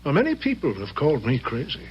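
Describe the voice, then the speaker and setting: An actor playing a grave, probing, thoughtful army general, speaking with measured authority in a radio drama. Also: male voice, mid-range voice, huge pitch swings, dull audio. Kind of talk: 1970s radio drama acting. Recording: noisy vintage radio recording with hum.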